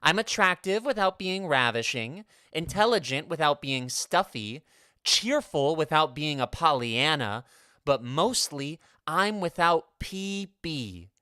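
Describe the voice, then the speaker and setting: Woman as an eager, low-voiced teenage schoolboy. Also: expressive, slow, pushed and bright, no echo, clean studio sound, exaggerated voice. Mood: theatrical reading. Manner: conspiratorial, grandly declaiming. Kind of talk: vintage radio comedy acting.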